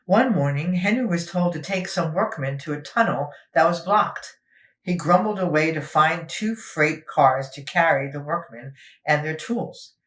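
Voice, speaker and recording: A woman's voice: sits low, a little slow. Woman reading a children's story, echoey room. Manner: expressive, explains words to young listeners. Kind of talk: scripted children's story narration.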